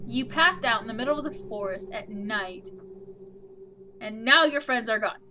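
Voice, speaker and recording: High, bright-voiced woman reading a game. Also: expressive, moderate pace, slight background noise. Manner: wry, opinionated asides. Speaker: let's play host. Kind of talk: reading a visual novel aloud with casual asides.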